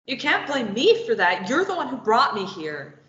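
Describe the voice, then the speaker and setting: A woman reading a sharp-tongued captive in a table read. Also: fairly high pitch, sing-song. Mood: sardonic. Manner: sardonic, quick retorts. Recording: dull, roomy lo-fi recording with faint noise.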